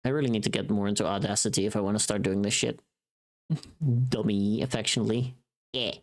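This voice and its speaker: A woman's voice: very deep voice, fairly even intonation, brisk, darkish tone. A woman livestreamer chatting casually with her viewers in a playful, teasing and bluntly informal way. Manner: playful, teasing, reacting to chat.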